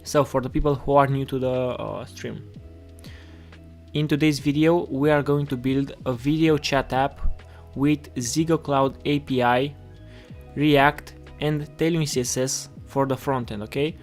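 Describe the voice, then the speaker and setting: A man from Romania hosting a casual live coding tutorial, halting and repeating his words as he goes. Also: medium pitch, steady tone. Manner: halting, repeats words while reading chat. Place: indoors at a computer desk. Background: music playing, keyboard typing.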